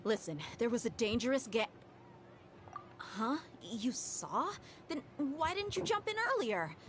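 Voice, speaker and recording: Direct young sellsword, animated and fairly high. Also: female voice, unhurried, slight background noise. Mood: brisk. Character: no-nonsense young female mercenary.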